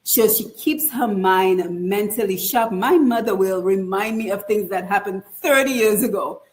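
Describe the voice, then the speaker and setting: An earnest, expressive woman talks about her book as a guest in an online interview. She sits at home and comes through a video call mic. Her pitch sits at the middle for a woman. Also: normal speed. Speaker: author and guest. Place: home in Georgia.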